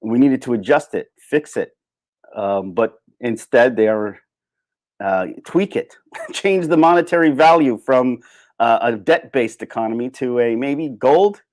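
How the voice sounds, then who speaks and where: A man giving a casual, opinionated livestream monologue in an animated, sardonic way. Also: mid-range voice, measured pace. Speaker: livestream host.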